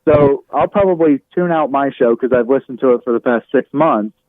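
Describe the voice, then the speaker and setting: A man's voice: medium pitch, normal speed, dry recording. Phone-like man as casual interview guest. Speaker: guest, online radio show host. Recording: muffled narrow-band remote call sound.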